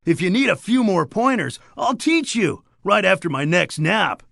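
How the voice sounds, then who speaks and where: An actor playing a laid-back, boastful surfer dude creature in a cartoon game, talking in upbeat beach slang. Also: male voice, sits high, sing-song, moderate pace, creaky, a bit bright, dry recording, studio quality, heavily acted. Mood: chilled, admiring. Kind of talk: animated game character dialogue.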